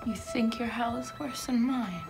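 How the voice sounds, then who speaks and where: A woman's voice: a little high, normal speed, music in the background, close to an everyday voice. Woman playing an anxious young woman pleading. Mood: bitter brooding. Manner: pleading, swings between whispers and outbursts. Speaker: actress performing a monologue. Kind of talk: dramatic monologue.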